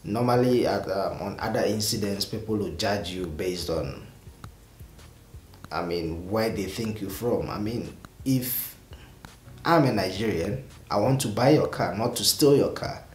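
Young male vlogger, lowish voice, exasperated and rambling, echoey room with faint hiss. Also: unhurried, darkish tone. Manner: exasperated, rambling with repeated restarts. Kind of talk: casual talk to camera. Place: small indoor room with purple lighting.

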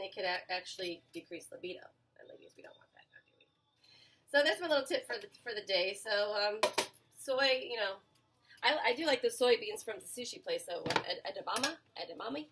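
A woman's voice: mid-range voice, bright, forceful tone, slight background noise, rough recording. A woman in her forties hosting a casual home cooking show, chatting to viewers as she cooks, with fillers and self-corrections. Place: small home kitchen. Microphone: camera mic a few feet away. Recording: home kitchen with clattering pans.

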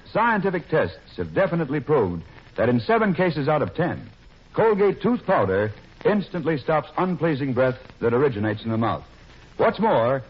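A man's voice: fairly high pitch, breathy edge. A man announcing a scripted 1940s radio commercial in a formal, emphatic manner. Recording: muffled 1940s radio recording.

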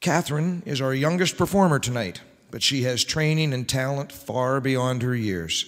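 A man's voice: mid-range voice, normal speed. A middle-aged man speaks as a radio show host, reading scripted copy with formal, projected announcer delivery. His voice rings in a large, reverberant hall. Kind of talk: old-time radio announcing, reading scripted ads and introductions. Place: large wood-panelled indoor hall, at a lectern. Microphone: microphone on a stand.

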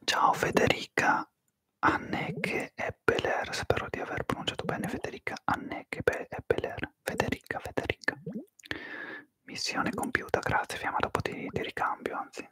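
A man whispering through a live ASMR stream, softly greeting viewers and slowly repeating their names into a close microphone. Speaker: ASMR livestreamer.

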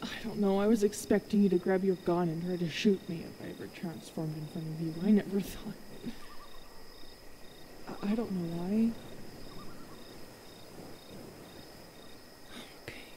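A woman playing a huge, self-loathing young werewolf girlfriend in an ASMR roleplay, halting and emotional, sometimes dropping to a whisper. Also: on the low side, fairly even intonation, mellow, a little hiss or hum, natural voice, low-quality recording. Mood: reluctant, insecure. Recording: cricket and night ambience behind.

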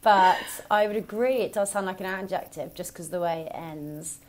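A young woman from London on a video call, blunt and self-deprecating, chatting casually and quickly as a quiz guest. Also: on the low side. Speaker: guest contestant.

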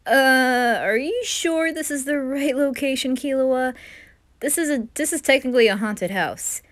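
A woman's voice: high-pitched, unhurried, fairly bright tone, pitched above the performer's own voice. A woman voicing a jumpy, easily spooked young man who blusters and protests in an anime fan roleplay. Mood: alarmed, exasperated.

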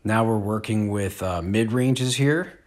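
A man in his forties giving a relaxed, unhurried disc golf lesson with casual fillers. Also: fairly low pitch. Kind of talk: casual instructional voice-over. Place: outdoor field.

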